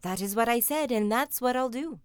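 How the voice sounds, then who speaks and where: A woman voicing Jack, a sharp, eager country boy, in a storybook reading. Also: on the high side, fairly quick, clean tone, dry recording, natural voice. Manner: eager, cheerful.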